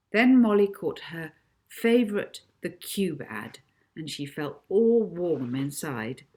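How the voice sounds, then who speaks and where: A woman reading a children's novel aloud as an expressive, deliberate audiobook narrator. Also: low voice.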